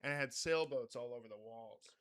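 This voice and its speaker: A man hosting a podcast in casual chat, with a very loud voice and a rambling, swear-laden way of talking. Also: mid-range voice, fairly quick. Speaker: podcast host.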